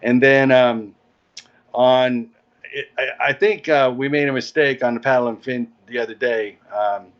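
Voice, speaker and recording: A man's voice: medium pitch, steady tone, measured pace. Man chatting casually in dull, lo-fi audio. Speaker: interview guest, event organizer. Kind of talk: casual chat explaining event plans.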